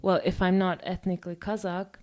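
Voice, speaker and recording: A woman's voice: lowish voice, flat delivery, a little fast, a little mellow. A woman co-hosting a discussion podcast, talking in long, thoughtful stretches full of "um" and "you know". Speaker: podcast co-host.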